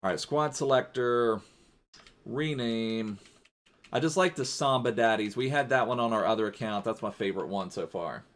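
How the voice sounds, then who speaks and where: A man's voice: medium pitch, moderate pace. A man streaming a football video game live, chatting casually in a rambling way and thanking viewers as he plays.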